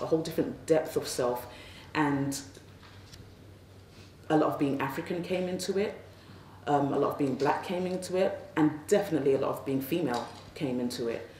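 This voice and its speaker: A woman on a festival panel, speaking thoughtfully and personally about writing, slowing on key phrases. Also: low voice, darkish tone, a little hiss or hum. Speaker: panelist, novelist. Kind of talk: reflective panel talk. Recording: echoey room, mic at a distance, faint ambience.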